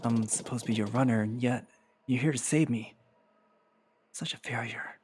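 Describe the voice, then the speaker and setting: A man's voice: medium pitch, moderate pace, background noise, close to an everyday voice. A man playing an earnest, self-doubting young heir in a drama, speaking in a subdued, sincere manner. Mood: apologetic. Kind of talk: dramatic game-script acting. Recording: music behind the voice.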